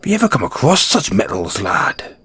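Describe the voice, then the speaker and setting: A man's voice: medium pitch, huge pitch swings, brisk, a bit bright, slightly rough, exaggerated voice. A man playing a kind, modest dwarven blacksmith in a fantasy audio roleplay, talking in a folksy, hesitant storyteller's way to a companion. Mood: fond wonder. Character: kind, modest, battle-scarred dwarven blacksmith, hearty adventurer.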